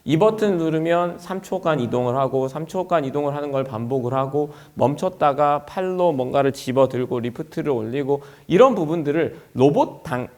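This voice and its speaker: High-pitched, animated man giving a product presentation. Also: moderate pace, few pauses. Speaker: company executive presenting at an industry forum.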